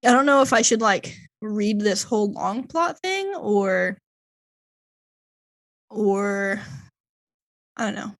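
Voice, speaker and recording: A woman's voice: medium pitch, expressive, takes it slowly, pauses often. Hesitant woman reading a plot aloud. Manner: hesitant, filler-heavy, stumbles over fantasy names. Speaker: podcast co-host. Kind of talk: casual chat, reading a plot summary aloud.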